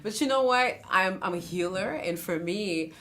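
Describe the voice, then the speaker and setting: A woman from Haiti, a musician speaking as an interview guest, animated and chatty, often saying "you know" and acting out other people's voices. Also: mid-range voice, moderate pace. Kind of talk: conversational interview.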